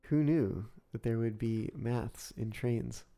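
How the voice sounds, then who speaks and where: Man streamer talking slow and soft, a bit gravelly, mellow tone, quiet music going behind him. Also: medium pitch. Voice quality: soft, soothing. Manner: slow, musing, thinks aloud through sums, greets chat viewers. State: recovering from illness. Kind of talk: casual live-stream commentary while building a model railway in a game. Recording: faint music under the voice. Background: soft background music.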